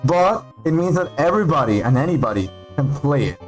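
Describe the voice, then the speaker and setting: Peruvian guy streaming, spanish first language, kinda high voice, rambly, some music playing quietly behind. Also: rough recording. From Peru. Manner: rambling, excitable, reads out names with pauses. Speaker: game streamer. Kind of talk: casual livestream chat while gaming. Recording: faint background music.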